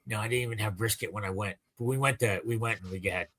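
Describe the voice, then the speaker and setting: A man's voice: on the low side, fast talker, a bit bright. A man hosting a podcast, chatting casually and asking his guest curious, joking questions. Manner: curious, asks questions, jokes. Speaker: podcast host. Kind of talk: casual interview chat.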